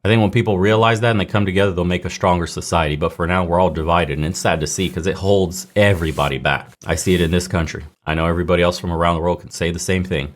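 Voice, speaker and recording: Deep-voiced blunt man ranting. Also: fairly even intonation, fast talker, hardly any pauses. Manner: blunt, rambling, says dude and man. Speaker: commentator. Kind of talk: casual reaction commentary.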